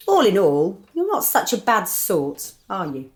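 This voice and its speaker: A woman acting in a radio drama as a sensible club member with a brisk, wry manner. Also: mid-range voice, huge pitch swings, very noisy. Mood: wry, composed.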